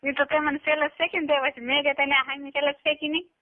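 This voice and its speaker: High-pitched Gujarati woman on a tinny phone. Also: level tone, fast talker. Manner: colloquial, brief backchannels. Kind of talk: casual private phone chat. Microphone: telephone line. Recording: tinny narrowband phone call recording.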